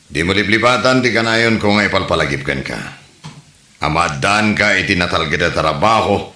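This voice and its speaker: A man's voice: deep voice, expressive, moderate pace, pushed and bright, echoey room. A man playing an authoritative political boss who gives commanding instructions in a comedy audio drama. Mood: instructing. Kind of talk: comedy radio drama acting.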